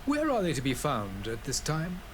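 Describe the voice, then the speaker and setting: Stately dignitary, very animated intonation. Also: male voice, on the high side, moderate pace, noisy background. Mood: grave, decisive. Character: stately, formal high-ranking official in fantasy game. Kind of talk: scripted video game dialogue.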